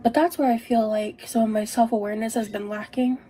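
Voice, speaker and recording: A woman giving a personal, confessional vlog monologue in a halting, self-reflective way. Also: a little high, steady tone, warm tone.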